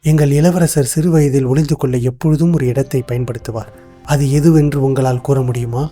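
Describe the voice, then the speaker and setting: An actor voicing a wise, cautious royal minister in a cartoon, speaking formally and in a measured way. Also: male voice, medium pitch, fairly even intonation, warm tone, faint music behind, close to an everyday voice. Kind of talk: cartoon voice acting.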